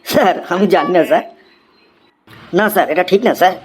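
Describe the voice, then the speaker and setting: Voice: medium pitch, fairly quick, a little hiss or hum, lightly acted. A performer voicing an anxious, accused schoolchild in a cartoon comedy. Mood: worried.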